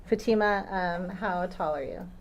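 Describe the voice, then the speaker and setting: A woman attorney questioning a witness in a courtroom, patient and measured, with occasional ums. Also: fairly low pitch, slight background noise. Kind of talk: courtroom direct examination.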